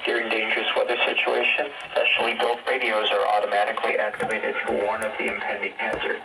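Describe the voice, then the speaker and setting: A man's voice: on the low side, completely flat delivery, fast, creaky. A man's voice reading a formal scripted announcement through a weather radio speaker. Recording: very noisy radio speakers, distant mic.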